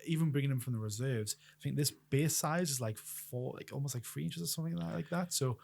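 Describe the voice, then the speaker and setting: A man co-hosting a casual chat about tabletop wargame army lists, explaining in a rapid, chatty way. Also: mid-range voice, gravelly, a little mellow. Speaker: co-host.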